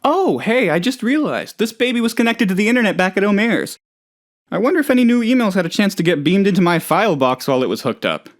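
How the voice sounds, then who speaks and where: Naive, excitable oddball boss, swooping pitch. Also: male voice, quick pace. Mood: eager. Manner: chatty, rambling, hesitant, earnestly gullible. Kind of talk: video game character dialogue.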